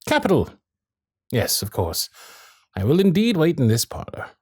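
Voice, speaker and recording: Casting: male actor as smitten Victorian gentleman, formal and frank, lively intonation, dry close sound, for romantic period audio roleplay. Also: fairly high pitch, moderate pace, husky, dry recording, exaggerated voice. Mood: polite, brisk. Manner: formal, genteel, old-fashioned phrasing with theatrical flourishes.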